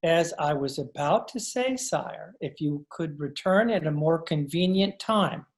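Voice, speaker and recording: A woman playing a stuffy, formal butler with a pompous, measured delivery in old-time radio comedy style. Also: sits low, expressive, a little mellow. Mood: stuffy, polite. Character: stuffy, formal male butler of rich household.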